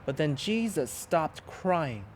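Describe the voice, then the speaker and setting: Animated pastor reading a children's story. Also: male voice, a little high, slow, slight background noise. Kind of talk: children's storybook read-aloud.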